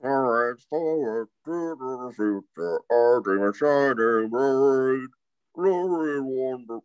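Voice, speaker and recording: A man performing a theme-park ride's old song with faded cheer, in slow drawn-out singing, on a slightly dull microphone. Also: mid-range voice, expressive, a little mellow, clear, resonant tone, no echo. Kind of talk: sung jingle in a script table read.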